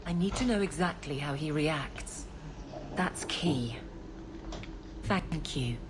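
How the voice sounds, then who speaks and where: Casting: stern female guard captain, fantasy game, lowish voice, clipped authority, socially awkward, noisy background. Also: animated, slow pace. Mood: brisk, flustered. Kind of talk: fantasy video game acting. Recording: game audio under clear noise.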